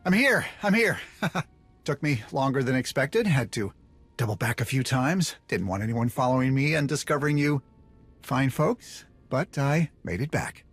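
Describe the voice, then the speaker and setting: An actor playing a nervous, chatty smuggler informant in a video game, with a husky voice and slangy, rambling way of talking. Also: male voice, medium pitch, moderate pace, lightly acted. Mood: breezy, excited. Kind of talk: video game dialogue acting. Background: music.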